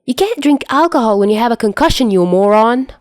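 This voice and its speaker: A woman's voice: a little high, expressive, fairly quick, a little mellow, no echo, studio quality, pitched above the performer's own voice, natural voice. A woman voicing a bossy, teasing young man in an audio story, nagging and petulant. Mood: irritated. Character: bossy, pouting, teasing young man minding his injured partner.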